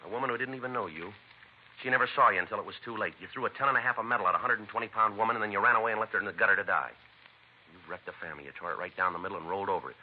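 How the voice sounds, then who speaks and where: A stern American detective sergeant from vintage radio. He speaks very quickly and low, clipped and matter-of-fact. The recording is muffled. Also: breathy edge. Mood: stern, scolding. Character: stern, fed-up, no-nonsense police sergeant. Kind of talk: 1950s radio drama acting and narration.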